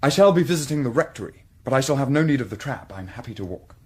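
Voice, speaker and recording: An actor playing a courteous, guarded Victorian gentleman with a formal English manner and accent in a radio drama. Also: medium pitch, lively intonation, breathy edge. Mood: polite, brisk. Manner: polite, measured, formal. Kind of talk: period radio drama acting.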